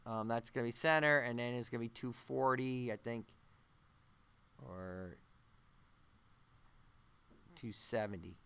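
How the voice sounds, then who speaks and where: A man giving an unscripted software tutorial, thinking aloud haltingly as he works through each step. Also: medium pitch, clean tone. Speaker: animation tutorial instructor. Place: voice-over on a screen-recording tutorial.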